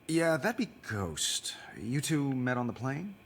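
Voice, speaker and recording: An actor playing a weary, wisecracking superhero tycoon in a video game, dry and flippant. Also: male voice, medium pitch, sing-song, slow. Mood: tired banter. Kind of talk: cinematic video game narration and dialogue.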